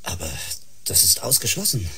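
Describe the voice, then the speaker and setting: Radio play role: exasperated, authoritative male doctor, husky, highly animated delivery. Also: normal speed, full character voice. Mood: concerned. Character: stern, exasperated male clinic doctor standing his ground. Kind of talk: German science-fiction radio drama acting.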